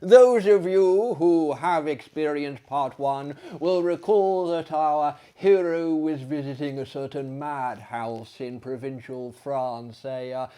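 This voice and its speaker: A man reading a literary story aloud as a theatrical storyteller, deliberate and with frequent hesitations. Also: on the high side, dark tone, clean tone. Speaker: storyteller and performer.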